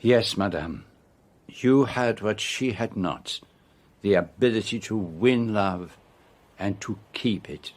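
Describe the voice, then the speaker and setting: An actor playing a self-assured foreign detective, speaking deliberately and formally as he explains a case in a radio drama. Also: lowish voice. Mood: grave, explaining. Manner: deliberate, theatrical, formal phrasing with French forms of address.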